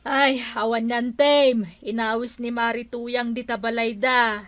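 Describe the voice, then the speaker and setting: A woman's voice: a little high, level tone, measured pace, a bit bright. A woman playing a gossipy, sympathetic village neighbour in a radio drama. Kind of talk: comic radio drama acting.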